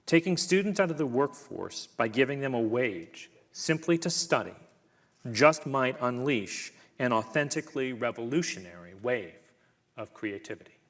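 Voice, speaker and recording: A man giving a university public lecture and then answering audience questions in a measured, thoughtful way, with frequent ums and uhs. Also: medium pitch, very animated. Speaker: guest lecturer, professor.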